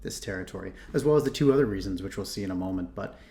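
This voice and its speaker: A man's voice: low voice, expressive, very fast, gravelly, darkish tone. A man from Ontario hosting a reaction video, chatting casually and thinking aloud with frequent restarts. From Canada (Ontario). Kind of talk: casual commentary.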